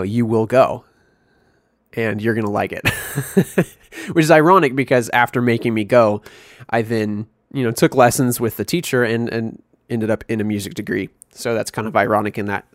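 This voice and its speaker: A man in his twenties from Missouri tells stories on a podcast in a laid-back, easygoing way and chuckles often as he goes. His voice sits at an ordinary middle pitch. The sound is dry and close, with no room around it. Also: dry recording. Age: 20s. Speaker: podcast co-host. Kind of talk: casual chat.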